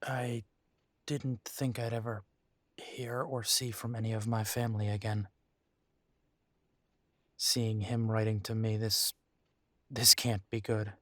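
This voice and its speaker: A man playing a troubled, tender werewolf boyfriend in an intimate roleplay audio drama, talking haltingly with sighs. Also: on the low side, level tone, a bit bright, no room sound, clean studio sound. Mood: shaken, bitter.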